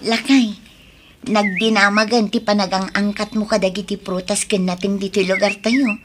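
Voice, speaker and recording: An actress with an Ilocano accent playing a practical, caring wife in a radio drama. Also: female voice, mid-range voice, little pitch movement, moderate pace, close to an everyday voice. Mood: practical, eager. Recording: radio drama with music underneath.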